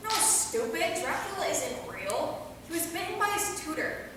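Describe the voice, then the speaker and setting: A woman playing a brash, excitable young vampire with slangy, sarcastic delivery in a comic staged reading. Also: very high voice, normal speed, heavily acted. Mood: scornful, sassy. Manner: slangy, sassy, exclamatory. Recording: echoey hall from far off, noisy.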